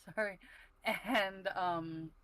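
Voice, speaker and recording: A woman chatting casually as a livestream panelist, halting and easily flustered as she speaks. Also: medium pitch, steady tone, fairly bright tone. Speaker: panelist on a talk show.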